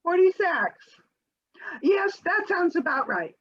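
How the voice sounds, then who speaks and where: A woman plays a genteel, evasive housewife in a comic readers theater sketch, captured in dull, lo-fi audio. Her high voice swoops and flutters through theatrical, over-polite excuses. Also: very animated, slow pace, exaggerated voice. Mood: breezy, evasive.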